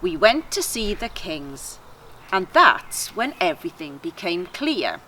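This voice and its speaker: A woman's voice: fairly low pitch, very animated, slow, sharp tone, slight background noise, exaggerated voice, poor audio. A woman voicing a wry, aggrieved female donkey who chattily tells her tale in a children's story. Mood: wry, amused. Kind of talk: children's story read aloud.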